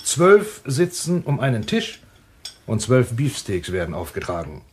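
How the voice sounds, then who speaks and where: An actor playing a boisterous, gluttonous husband telling stories at a party in a radio play. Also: male voice, medium pitch, huge pitch swings, a little slow, a little hiss or hum. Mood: dramatic storytelling.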